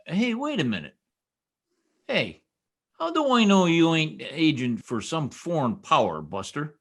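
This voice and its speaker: A man's voice: on the high side, huge pitch swings, a little slow, exaggerated voice. A man playing a boastful, folksy small-town husband full of slangy bluster in an old-time radio comedy. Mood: boastful, suspicious. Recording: video call recording.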